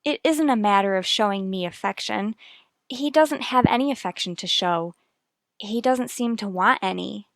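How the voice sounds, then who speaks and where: A woman's voice: medium pitch, normal speed, no room sound, natural voice. Actress as a hesitant, worried mother, close and dry. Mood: anxious, pained. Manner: hesitant, careful, confiding. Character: worried, earnest young mother confiding about her son. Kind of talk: vintage radio soap opera acting.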